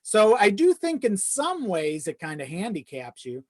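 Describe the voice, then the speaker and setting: A man's voice: high voice, wildly swooping pitch, measured pace, no room sound. A man chatting as a guest on a podcast, recorded dry and close with little room sound. His talk is loose and conversational, with swearing and a self-deprecating streak. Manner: casual, profane. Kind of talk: casual chat.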